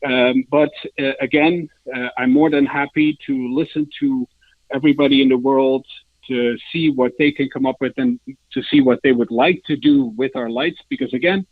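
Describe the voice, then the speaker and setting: A man's voice: medium pitch, steady tone, normal speed, no echo. Dutch man on slightly dull, dry audio. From Netherlands. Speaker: sales director, interview guest. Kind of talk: informal interview answers, product pitch.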